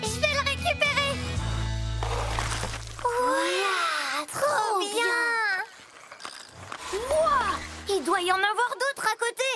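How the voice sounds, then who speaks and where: A small, playful cartoon truck child with a very high voice. The delivery is unhurried but full of eager exclamations, with loud music playing underneath. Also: animated, full character voice. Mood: eager, excited. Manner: exclamatory, enthusiastic.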